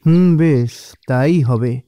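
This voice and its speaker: A man's voice: mid-range voice, slow pace, highs cut off, lightly acted. A man playing an anxious, humble village vegetable farmer in a cartoon, with a worried and plaintive way of talking. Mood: wary. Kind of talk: cartoon voice acting.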